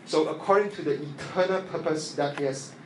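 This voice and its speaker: A man whose first language is Chinese preaches in English, his voice sitting a little high. He speaks haltingly and earnestly, full of fillers and restarts. The room is echoey, with a faint hum behind. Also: a little mellow. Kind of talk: sermon with opening prayer.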